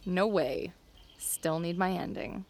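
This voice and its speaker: A woman's voice: lowish voice, takes it slowly, a little mellow. A woman acting a worn-out but stubborn character in an audio drama thriller. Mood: wry, resolute.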